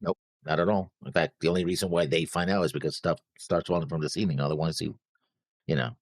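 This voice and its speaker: Very deep, husky, gravelly man. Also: steady tone, fast, dry recording, clean studio sound. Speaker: reaction show co-host. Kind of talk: casual discussion, reading out notes.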